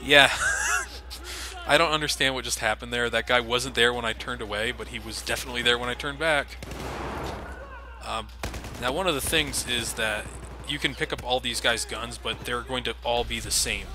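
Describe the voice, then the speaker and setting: A man's voice: mid-range voice, steady tone, normal speed, bright, forceful tone. Sardonic guy swearing through game commentary. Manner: sardonic, swears casually, laughs often. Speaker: let's play commentator. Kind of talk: casual gameplay commentary. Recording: noisy home recording.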